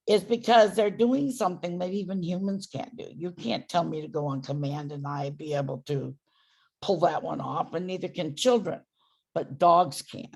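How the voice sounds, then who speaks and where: A hoarse woman dog trainer giving loud, emphatic instructions in a casual chat over a video call. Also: deep voice. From San Francisco Bay Area.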